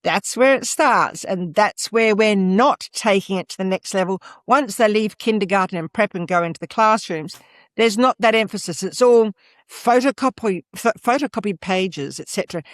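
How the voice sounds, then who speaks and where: An older woman with an Australian accent speaks emphatically, stressing her points again and again. Her voice sits at a middle pitch. It is recorded close and dry, with no room sound. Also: no room sound. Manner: emphatic, leans on "absolutely" and "et cetera". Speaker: guest, former school principal. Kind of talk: interview conversation.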